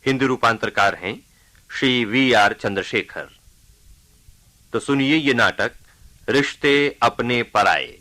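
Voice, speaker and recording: A man reading a formal scripted introduction as a radio announcer, measured and deliberate. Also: mid-range voice, animated, bright, forceful tone.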